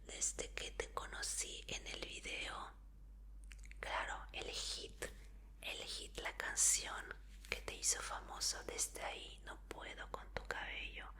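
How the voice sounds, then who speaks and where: Woman doing a sweetly creepy obsessed fan girl, slow soft whisper, bright and hushed. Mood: obsessive. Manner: soft pleading whispers, coaxing and apologetic. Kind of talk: whispered ASMR roleplay.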